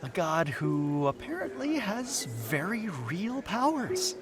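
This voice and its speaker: Slow-speaking, uneasy anthropologist. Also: male voice, high voice, big pitch swings, over music, exaggerated voice. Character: uneasy male anthropologist, wary and bewildered. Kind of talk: audio drama acting.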